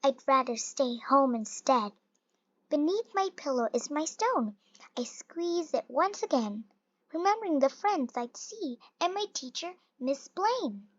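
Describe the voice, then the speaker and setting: A very high, youthful voice reads a rhyming picture book aloud. The delivery is slow and deliberate, with lively swings in tone. The sound is slightly dull and dry. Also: no echo. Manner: slow, deliberate, expressive storytelling. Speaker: storybook reader. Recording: dull lo-fi recording, little room sound.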